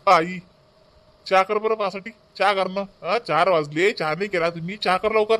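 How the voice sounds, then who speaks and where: A man's voice: high voice, moderate pace, fairly bright tone. A man voicing an irritable, demanding village son and husband in a comic cartoon, repeating himself in a Vidarbha Marathi accent. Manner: demanding, repetitive. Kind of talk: exaggerated comic cartoon dialogue.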